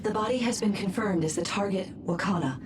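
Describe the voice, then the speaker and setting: A woman's voice: medium pitch, brisk, noisy background, lightly acted. Distant, formal female investigator, acted by a woman. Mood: businesslike. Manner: formal, clinical. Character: formal, businesslike female investigator giving report. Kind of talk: English video game voice acting.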